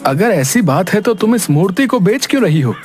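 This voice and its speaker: A man's voice: sits high, very animated, brisk. Man acting a hesitant, gullible market customer. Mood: doubtful. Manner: hesitant, questioning.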